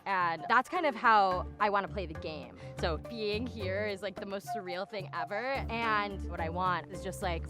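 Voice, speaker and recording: Young American woman over loud music. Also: medium pitch. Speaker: reality show contestant. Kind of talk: casual to-camera contestant interview.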